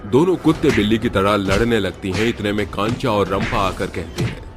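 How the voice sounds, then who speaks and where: A man's voice: fairly low pitch, normal speed, over music. A man narrating a scripted horror cartoon story in a dramatic storytelling style.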